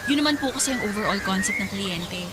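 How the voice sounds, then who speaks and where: A woman playing a spirited, flustered young working woman in a Filipino radio drama, with a Filipino accent and expressive delivery. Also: mid-range voice, fast, very noisy, lightly acted. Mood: polite, puzzled. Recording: loud music under the voice.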